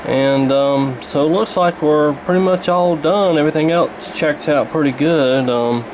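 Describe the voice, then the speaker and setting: A man presents a repair demonstration at a slow, halting pace. His manner is casual and matter-of-fact, with plenty of pauses. There is clear steady noise behind his voice. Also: fairly high pitch. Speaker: radio repair hobbyist presenting.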